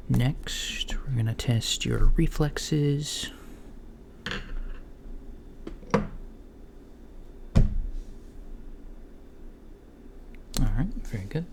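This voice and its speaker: A man playing a mild, puzzled alien doctor in a soft, breathy, often whispered ASMR roleplay, with hesitant, gently deadpan murmuring. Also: medium pitch. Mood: calm, attentive. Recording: lo-fi home recording, faint background noise.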